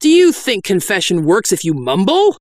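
Woman performing a young female warrior as fake priest, haughty, declamatory, scolding, high and projected, dry fantasy audiobook. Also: sing-song, measured pace, no echo. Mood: commanding, scolding. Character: bold bluffing young warrior woman posing as stern priest. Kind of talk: fantasy audiobook character acting.

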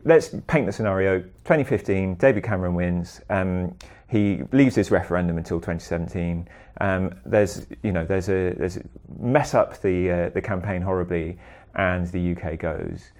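A man's voice: deep voice, normal speed, hardly any pauses, darkish tone. A man from Britain discussing viewer questions in a conversation, hesitant, with many ums, building his points step by step.

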